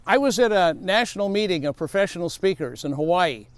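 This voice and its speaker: Male safety speaker in his fifties, energetic motivational delivery, smooth high voice, dry close sound. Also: fairly quick, no echo. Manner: emphatic, energetic, repeats his key rule. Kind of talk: motivational speaking tip to camera.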